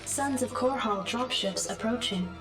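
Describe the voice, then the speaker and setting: A woman's voice: a little high, a little slow. A woman voicing a formal, detached military computer adjutant, giving clipped announcements in a game briefing. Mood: impassive, official. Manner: clipped, impassive. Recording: echoing, distant, music behind.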